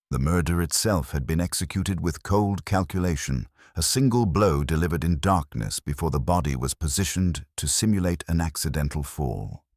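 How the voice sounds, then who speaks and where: Very deep-voiced male mystery narrator. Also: a little mellow, breathy edge, no echo, clean studio sound. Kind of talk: scripted audiobook narration.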